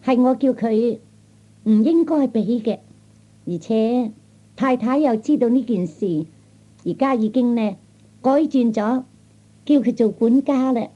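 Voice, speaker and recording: A man's voice: extremely high pitch, unhurried, pauses often, dark tone. A man voicing a feisty, haughty young woman with a Cantonese accent, in old radio comedy style. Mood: scolding. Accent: Cantonese, Hong Kong or Guangdong. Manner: sassy, scolding, self-assured. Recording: dull old 1960s comedy record.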